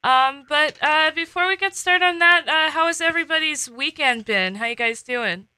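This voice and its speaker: A woman hosting a casual podcast chat, relaxed and full of fillers. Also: high voice, normal speed, no room sound. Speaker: podcast host.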